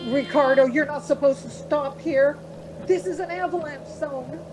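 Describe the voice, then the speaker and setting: A woman's voice: high-pitched, normal speed, background noise, natural voice. A woman acting in a radio play as an anxious, questioning traveller, fretful and hesitant. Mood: alarmed.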